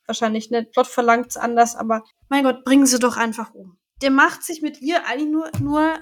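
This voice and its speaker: A woman's voice: sits high, steady tone, a little fast. A woman co-hosting a podcast, chatting casually and thinking aloud as she retells and judges an audio drama. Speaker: podcast co-host.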